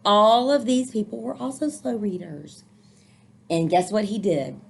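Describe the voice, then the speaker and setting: A woman's voice: mid-range voice, animated, unhurried, vocal fry, breathy edge. A woman reading a picture book aloud to children, expressively, with encouraging, explanatory asides. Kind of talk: storybook read-aloud with commentary for children.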